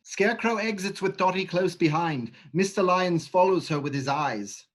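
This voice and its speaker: A man reading stage directions aloud in an even, matter-of-fact way. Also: sits high, normal speed. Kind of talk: script reading.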